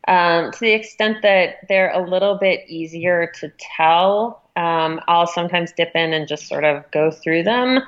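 A woman with a notably low voice talks as an interview guest. She explains her editorial work in a thoughtful, conversational way. The sound is a little dull and muffled. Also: measured pace, hardly any pauses.

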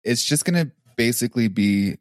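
A man's voice: medium pitch, expressive, moderate pace, no room sound, clean studio sound. A man co-hosting a podcast, joking around and laughing often in casual chat. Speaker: podcast co-host and producer.

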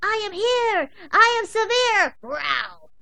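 A woman's voice: fairly high pitch, very animated, slow, dry recording, heavily acted. A woman voicing a pampered female pet who believes she is a princess and speaks proudly and dramatically.

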